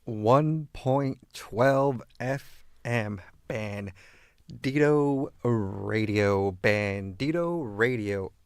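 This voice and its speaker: Slow, gravelly American radio DJ. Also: male voice, medium pitch, no echo, studio quality. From East Los Angeles. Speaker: radio disc jockey and host. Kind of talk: casual radio show talk.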